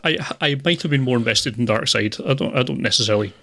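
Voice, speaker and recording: A man co-hosting a film podcast, chatting casually in a hesitant, measured way. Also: mid-range voice, gravelly, sharp tone. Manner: hesitant, measured, laughs often. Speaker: podcast co-host. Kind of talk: casual film review chat.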